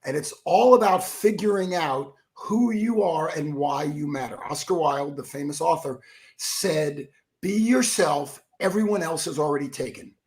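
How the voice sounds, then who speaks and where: Energetic man with a froggy voice. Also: fairly high pitch, unhurried. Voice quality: froggy, rough voice. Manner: energetic storyteller who acts out quotes. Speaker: guest, keynote speaker and author. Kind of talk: animated interview talk.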